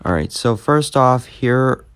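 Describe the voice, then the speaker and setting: A man giving a casual, unscripted app tutorial, speaking plainly and haltingly as he hosts the demonstration. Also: medium pitch, lively intonation, a bit bright, clean tone, no echo, studio quality. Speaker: YouTube host demonstrating an app.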